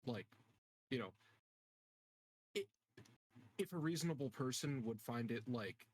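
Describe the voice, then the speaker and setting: A man's voice: medium pitch, expressive, unhurried, darkish tone, breathy edge. A man chatting casually as a game player, offhand and joking in a noncommittal way. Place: online voice call.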